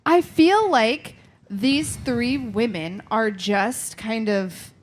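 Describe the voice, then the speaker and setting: A woman's voice: fairly high pitch, drawn-out words. A woman co-hosting a live podcast, talking casually with dry quips. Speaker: podcast co-host. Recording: live show with faint background noise.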